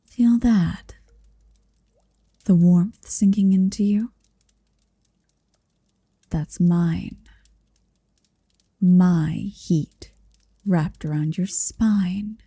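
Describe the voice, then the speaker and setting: Dark, breathy dragoness, a woman acting, murmuring slowly. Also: medium pitch, no room sound, studio quality, full character voice. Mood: soothing, intimate. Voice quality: soft, breathy. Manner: deliberate, teasing, dominant, lingering on phrases. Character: possessive motherly female dragon, tender, proud, quietly menacing. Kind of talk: ASMR roleplay, intimate slow murmuring and whispering. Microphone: close microphone, dry.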